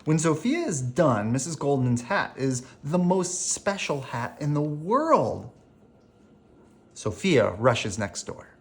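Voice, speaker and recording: A storyteller reads a picture book aloud at a slow, careful pace. The pitch sits in a middle range and moves in lively swings, with animated phrasing made for young children at bedtime.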